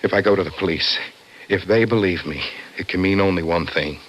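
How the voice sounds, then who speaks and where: A man's voice: low voice, a little fast, lo-fi sound. An actor playing a distraught, guilt-ridden young man in an old-time radio drama, speaking in a subdued, questioning way. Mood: troubled, subdued. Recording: noisy vintage radio recording.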